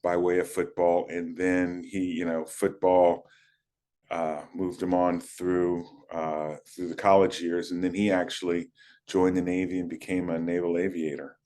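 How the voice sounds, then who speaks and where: A man in his fifties from the United States, recalling his playing days in a relaxed, halting interview manner with many fillers. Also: low-pitched. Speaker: guest, former college running back. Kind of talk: casual interview talk.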